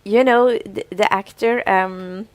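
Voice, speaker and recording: A woman's voice: mid-range voice, fairly even intonation, slow pace, no echo. A woman co-hosting a podcast in casual conversation, often hesitating as she searches for words. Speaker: podcast co-host. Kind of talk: casual chat about a TV show.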